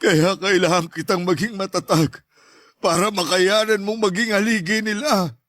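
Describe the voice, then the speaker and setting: A man playing a stern, proud, dying father in a radio drama, speaking gravely and insistently. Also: high voice, fairly even intonation, normal speed, a bit bright. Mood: grave, pleading resolve. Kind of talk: Tagalog radio drama acting.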